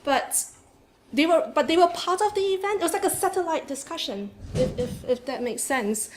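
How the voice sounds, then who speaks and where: A woman with a Singaporean accent giving an academic conference talk in a seminar room, lively, with frequent "um"s and laughs. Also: extremely high pitch, moderate pace. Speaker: conference speaker. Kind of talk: academic presentation. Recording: echoey room, faint noise.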